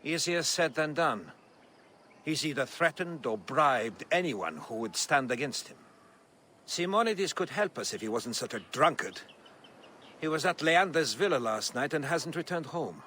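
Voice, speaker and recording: An actor playing an earnest, educated Greek politician in a video game. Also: male voice, a little high, animated, a little slow, bright, forceful tone, slight background noise. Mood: frustrated.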